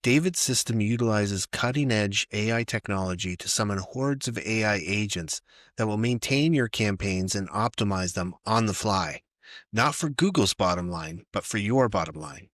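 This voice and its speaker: A man's voice: fairly low pitch, animated, normal speed, a bit bright, no echo, clean studio sound. A man from North America in his forties or older, hosting a casual podcast interview in a friendly, joking, chatty way. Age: 40s or older. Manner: friendly, jokey, chatty with laughs. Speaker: podcast host.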